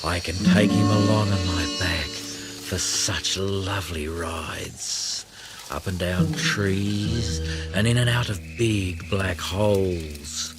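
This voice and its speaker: A man voicing a sly, wicked female snake in a storybook, drawling slowly and insinuatingly. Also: low voice, loud music behind the voice, voice pushed down. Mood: sly, coaxing.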